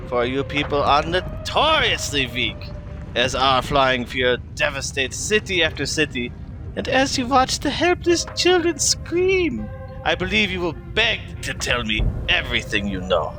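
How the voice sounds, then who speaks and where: A sneering Nazi general for an adventure cartoon. The voice sits fairly high and swings dramatically as he taunts, pompous and merciless, over loud music. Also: sing-song, measured pace. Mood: gloating, menacing. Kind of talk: melodramatic adventure cartoon acting.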